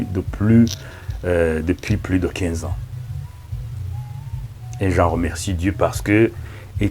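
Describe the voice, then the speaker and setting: A middle-aged Rwandan-born pastor whose first language is Kinyarwanda, praying and preaching slowly and solemnly, with frequent amens. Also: male voice, fairly low pitch. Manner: slow, solemn, with frequent amens and hushed thanks. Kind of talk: prayer and sermon introduction.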